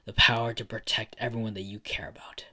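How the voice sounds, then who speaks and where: A man voicing a calm, solemn, aging godlike being who speaks slowly and formally in a hushed voice. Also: on the low side.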